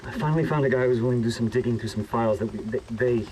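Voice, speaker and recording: A man playing a nervous, rule-bound government researcher in a video game, halting and stammering anxiously. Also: medium pitch, a little mellow, slight background noise, lightly acted, rough recording. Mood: reluctant, subdued. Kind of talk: cinematic video game voice acting.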